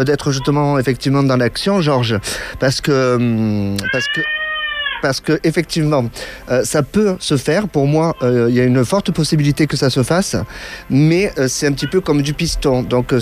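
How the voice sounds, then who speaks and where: A man's voice: fairly high pitch, animated, moderate pace. A man hosting a radio call-in consultation, reassuring callers while hesitating with many fillers and restarts. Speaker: radio clairvoyant host taking calls.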